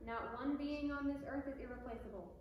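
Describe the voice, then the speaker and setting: A woman's voice: high voice, brisk, highs cut off, natural voice. Woman as stern, impatient Death in an echoey room. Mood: stern, explanatory. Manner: didactic, curt, impatient. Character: Death, stern impatient male mentor reaper. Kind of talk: amateur theatre read performance. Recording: echoey room with faint noise.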